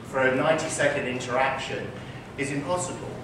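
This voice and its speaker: A man's voice: mid-range voice, fairly quick. A man, a physician, answering interview questions on a conference stage, speaking in an articulate, emphatic way and repeating his key points. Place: conference hall before an audience. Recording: echoey hall, distant mic, noisy.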